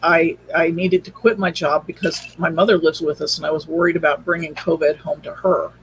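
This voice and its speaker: A woman's voice: deep voice, fairly even intonation, a little fast, a little hiss or hum, low-quality recording. An older woman telling personal stories casually and earnestly as a podcast guest on a video call, a little hesitant. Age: older, baby boomer. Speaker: podcast guest, show producer.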